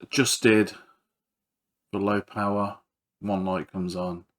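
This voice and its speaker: Man, lowish pitch, casual repair commentary, hesitant, thinking aloud, electronics repairer presenting a video. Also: flat delivery, takes it slowly.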